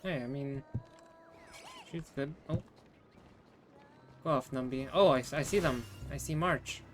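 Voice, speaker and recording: A woman's voice: rumbling low, unhurried, soft background music. A woman streamer giving casual, offhand and playful commentary while she plays a game.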